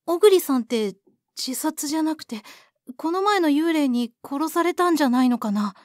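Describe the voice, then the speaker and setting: High-voiced, caring young colleague. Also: female voice, expressive, moderate pace, no echo, clean studio sound. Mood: worried. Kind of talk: Japanese voice drama acting.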